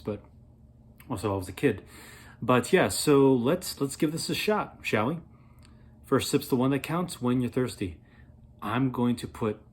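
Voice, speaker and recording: A man in his thirties reviewing a product in a casual, playful way, rambling as he thinks aloud, with a slightly husky voice. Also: medium pitch, darkish tone. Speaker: beer reviewer, vlog host.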